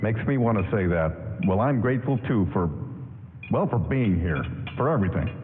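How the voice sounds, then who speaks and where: An actor playing a shy, polite young army sergeant in a 1940s-style radio drama, halting and earnest. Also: male voice, fairly low pitch, lively intonation, soft background music, close to an everyday voice. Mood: polite, bashful. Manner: halting, bashful, courteous. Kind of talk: 1940s radio drama acting before a live audience. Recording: echoey hall, tinny radio broadcast.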